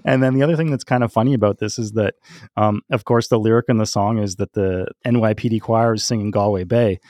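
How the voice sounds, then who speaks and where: Low-voiced man chatting casually, close and dry. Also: steady tone, a little mellow, dry recording. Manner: halting, chuckles often while talking. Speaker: podcast co-host. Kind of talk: casual chat, telling music trivia and anecdotes.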